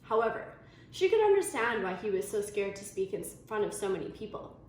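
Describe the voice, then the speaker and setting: A woman reading a children's story aloud in an expressive storytelling manner. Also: medium pitch, a little fast. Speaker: storybook reader. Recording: very echoey room, faint noise.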